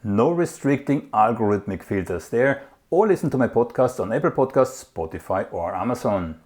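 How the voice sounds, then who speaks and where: A man's voice: medium pitch, lively intonation, normal speed, a little mellow, slightly rough. A man hosting a political interview, making an earnest, emphatic appeal to his viewers before questioning his guest. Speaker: interview host. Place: in the Netherlands.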